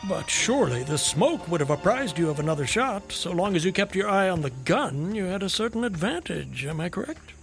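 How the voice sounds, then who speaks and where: Lively, courteous enigmatic gentleman. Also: high-pitched, a little fast, vocal fry, few pauses, faint music behind, heavily acted. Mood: attentive, probing. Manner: formal, polite, probing. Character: courteous, inquisitive, enigmatic gentleman traveller companion. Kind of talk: radio drama acting.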